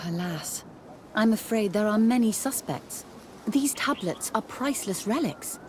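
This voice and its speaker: A woman's voice: mid-range voice, lively intonation, measured pace, darkish tone, slight background noise, natural voice. A woman acting a formal scholarly nun, ambience behind. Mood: concerned. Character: busy, formal female religious scholar in charge. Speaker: supervisor of theologians. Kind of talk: video game dialogue.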